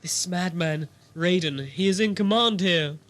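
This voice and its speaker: Man acting a high-voiced, proud alien nobleman. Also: measured pace, a bit bright. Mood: urgent, grave. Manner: formal, declamatory. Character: proud, formal alien nobleman, urgent and commanding. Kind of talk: audio drama acting.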